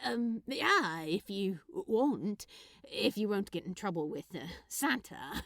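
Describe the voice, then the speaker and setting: Storybook role: smitten, awkward man, performed by a woman, stammering, swooping pitch, close dry sound. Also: big pitch swings, slow pace, vocal fry, a bit bright, dry recording, exaggerated voice. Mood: awkward, eager. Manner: hesitant, mumbling, full of stammers. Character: flustered, lovestruck man stammering shyly through small talk. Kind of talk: storybook character dialogue in fan fiction reading.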